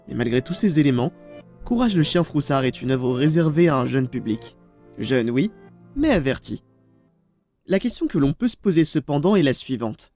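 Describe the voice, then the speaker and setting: A man reading scripted documentary-style narration for a video essay, in a measured, ominous storytelling manner. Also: medium pitch, darkish tone. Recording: music under the voice. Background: background music.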